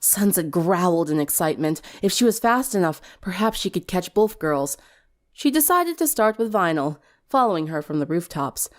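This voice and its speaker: A woman narrates a story dramatically as a fan fiction reader. Her voice sits a little low for a woman and moves through a lively, wide range. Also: normal speed.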